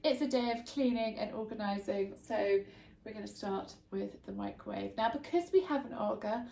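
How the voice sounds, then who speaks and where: A woman's voice: mid-range voice, normal speed, vocal fry, dark tone, echoey room, a little hiss or hum. Congested woman in her kitchen. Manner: chatty, homely, friendly asides. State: congested, bunged up from hay fever. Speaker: home tips vlogger. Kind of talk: casual how-to chat to camera. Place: home kitchen.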